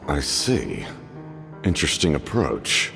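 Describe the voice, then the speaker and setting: A grave, proud captain from a fantasy video game, with an extremely low voice and gravelly fry. His speech is formal and stern, yet swings noticeably in pitch, in a long reverb with music behind. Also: big pitch swings, slow, heavily acted. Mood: guarded, measured. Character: grave, proud male Fatui captain, commanding and guarded.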